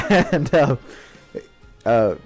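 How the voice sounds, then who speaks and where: A man's voice: mid-range voice, animated, very slow, faint music behind. Young man telling a rambling casual story. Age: young adult. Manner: slangy, sweary, rambling.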